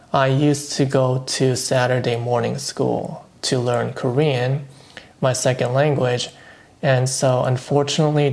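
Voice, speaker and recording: A man talking casually and thoughtfully on his own channel, giving low-key opinions with dry asides. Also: a little mellow. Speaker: vlogger reviewing streaming shows. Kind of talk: casual solo commentary.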